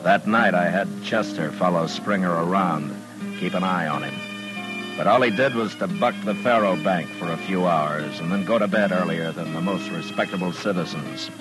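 An American actor playing a steady, dry-humored marshal in an old radio western, speaking in a laconic, firm way. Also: male voice, sits low, moderate pace, natural voice, rough recording. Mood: narrating. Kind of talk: 1950s radio western acting and narration. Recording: old radio drama with music.

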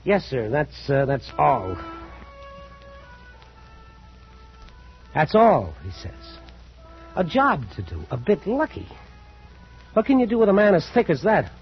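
An actor playing a blunt, exasperated first mate in a 1940s radio drama, sardonic and incredulous. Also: male voice, a little high, wildly swooping pitch, normal speed. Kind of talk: 1940s radio acting, first-person narration. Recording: dull old 1940s radio recording, faint music.

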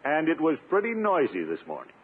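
Pompous blustering uncle on tinny old radio. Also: male voice, a little high, huge pitch swings, fairly quick. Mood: stubborn. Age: middle-aged. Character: pompous, blustering middle-aged uncle, self-declared rugged individualist. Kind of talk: 1950s radio comedy acting. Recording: tinny, noisy 1950s radio recording.

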